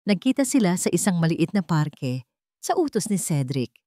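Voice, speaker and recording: A woman narrating a scripted romance story in a measured, dramatic way with deliberate pauses. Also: fairly low pitch, sing-song, mellow, dry recording, clean studio sound.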